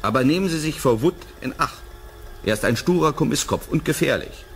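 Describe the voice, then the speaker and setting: An actor playing an earnest, conciliatory scientist in a 1980s radio play. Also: male voice, fairly high pitch, expressive, fairly quick. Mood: concerned. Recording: faint background ambience noise.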